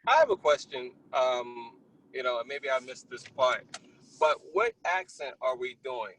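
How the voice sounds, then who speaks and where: A man's voice: mid-range voice, big pitch swings, unhurried, fairly bright tone, poor audio. A man in casual group discussion, giving direction in an informal, encouraging and direct way. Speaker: production team member giving direction.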